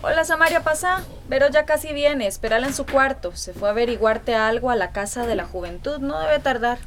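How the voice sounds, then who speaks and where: An actress playing a worried, welcoming middle-aged mother in a radio drama, with a Costa Rican accent and a soft-spoken manner. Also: high voice, expressive, a little fast. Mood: kind, worried.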